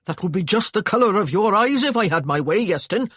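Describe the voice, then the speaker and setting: A narrator voicing a gruff young Welsh man who scolds his brother loudly and speaks stiffly to a stranger, with a Welsh accent. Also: medium pitch, very animated, moderate pace, no echo, clean studio sound, voice pushed up. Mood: scolding.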